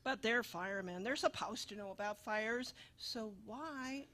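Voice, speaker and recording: A woman acts a nagging, money-minded landlady in a comic radio play. Her voice sits a little high. She is dry, exasperated and always needling. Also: a little slow, pushed and bright. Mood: mocking.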